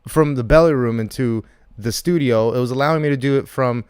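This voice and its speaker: A man with a middle-range voice hosts an online voice chat. He talks in a relaxed, offhand way and swears casually. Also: medium pitch, fairly quick, smooth, no echo, clean studio sound. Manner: laid-back, swears casually. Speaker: host running the voice chat. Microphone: online voice call.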